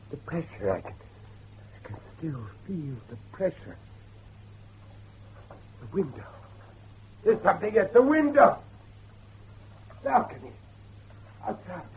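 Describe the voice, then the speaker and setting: An actor playing an older, devout, haunted Victorian father in a theatrical horror drama, speaking haltingly. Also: high voice, fairly bright tone, slightly rough, lo-fi sound, full character voice. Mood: shaken, hushed. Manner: theatrical, halting, repeats himself. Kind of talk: dramatic horror audio acting.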